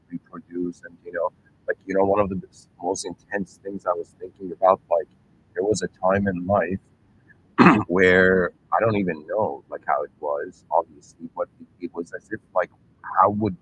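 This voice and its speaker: A man in his thirties with a deep, low-pitched voice muses aloud in a searching, earnest way. He often restarts his sentences and clears his throat, and keeps checking that his listeners follow. A faint vehicle rumble hums behind him. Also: fairly bright tone, highs cut off. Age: 30s. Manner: rambling, searching, many fillers and restarts; earnest, addresses listeners directly. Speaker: channel host. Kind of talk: reflective philosophical monologue.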